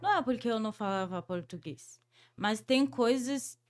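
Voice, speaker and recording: A woman's voice: fairly high pitch, animated, normal speed, darkish tone. A woman whose first language is French, hosting a podcast interview and talking in a hesitant way, with many fillers and restarts. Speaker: podcast host. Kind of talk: conversational interview.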